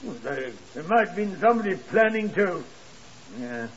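A man's voice: sits high, very animated, moderate pace. An actor playing an earnest, deferential working-class hotel night man in a 1950s radio crime drama, hesitant and eager to explain. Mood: hesitant, insistent. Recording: muffled 1950s radio broadcast.

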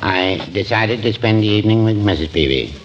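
A man acting a mild, henpecked older husband in a 1940s radio comedy. The voice is low, droll and hesitant, with dry turns of phrase. The recording is muffled and very noisy. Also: brisk, lightly acted.

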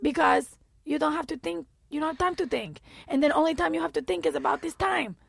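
A woman voicing an excitable, blunt male ballplayer in a put-on Dominican Spanish accent, loud and emphatic. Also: sits high, fairly quick, gravelly, no echo, studio quality, voice pushed up, heavily acted. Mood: indignant.

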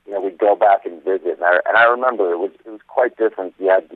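A man's voice: deep voice, quick pace, dry recording. A man from Lebanon, an actor talking as a guest by phone, upbeat and chatty with lots of fillers. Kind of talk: phone interview. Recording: phone-line audio.

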